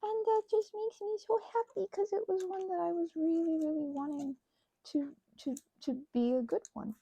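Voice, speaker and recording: A woman rambling casually as she crafts, talking in a gushing, halting way and often interrupting herself. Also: very high-pitched, steady tone, gravelly, dark tone, no room sound, poor audio. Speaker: hobby ASMR and roleplay audio creator.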